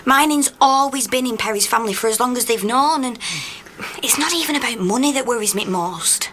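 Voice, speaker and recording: An actress as a cheeky Northern English miner's wife in a radio drama, her voice pitched high. She talks bluntly and colloquially, with clear noise behind her. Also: brisk, natural voice. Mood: worried, self-blaming. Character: cheeky, mouthy miner's wife occupying a pit, self-doubting. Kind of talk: naturalistic radio drama acting.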